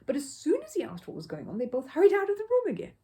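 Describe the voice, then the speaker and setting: A woman's voice: high-pitched, huge pitch swings, fast, mellow. A woman storyteller narrating a children's book expressively.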